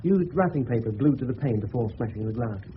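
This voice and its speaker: An actor playing a respectful, plain-spoken police subordinate in a 1970s radio drama, with an English accent and a slightly hesitant manner. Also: male voice, medium pitch, lively intonation, fairly quick, natural voice. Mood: dutiful. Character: deferential, matter-of-fact English police subordinate reporting to superior. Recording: thin narrow-band old radio recording, hiss.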